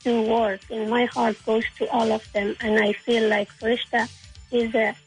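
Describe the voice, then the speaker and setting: A young woman with an Afghan accent, answering interview questions in a halting, earnest way. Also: fairly high pitch, little pitch movement, slightly rough, faint music behind, rough recording. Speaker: interview guest.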